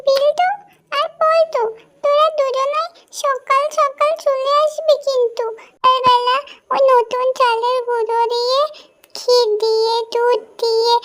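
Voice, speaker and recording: A woman's voice: very high-pitched, fairly bright tone, voice pushed up. A woman voicing an eager, caring little village girl in a cartoon, with an earnest, coaxing manner.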